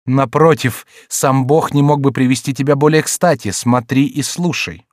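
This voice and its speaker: A man's voice: medium pitch, normal speed, a little mellow, no room sound, clean studio sound, pitched above the performer's own voice, lightly acted. A man voicing a scheming, imperious queen mother in a storybook reading, with a commanding, emphatic delivery. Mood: urgent.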